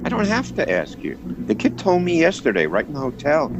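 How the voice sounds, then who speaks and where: A man's voice: a little high, wildly swooping pitch, brisk, muffled recording, background noise. A man playing a henpecked, stammering, blustering Hollywood film producer in a 1940s radio drama style. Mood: flustered.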